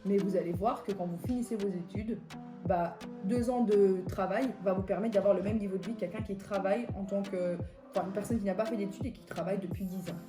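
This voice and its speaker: A woman's voice: mid-range voice, fairly even intonation, fast talker, roomy sound, over music. A woman from Belgium chatting casually as a beauty vlogger, answering viewer questions with lots of fillers. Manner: chatty, gives life advice, many fillers. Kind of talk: casual chat.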